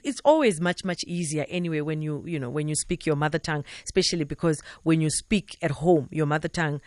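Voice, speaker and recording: A man's voice: a little high, no room sound. A man with a South African accent hosting a late-night call-in radio show, talking loosely with lots of fillers. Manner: chatty, loose, with many "you know" fillers. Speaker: radio talk show host. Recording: dry studio sound.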